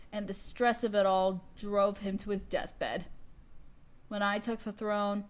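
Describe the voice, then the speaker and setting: A woman's voice: mid-range voice, close to an everyday voice. A woman playing a haughty evil queen and sorceress in an audio roleplay, speaking in a theatrical, condescending, commanding way. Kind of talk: scripted audio roleplay monologue.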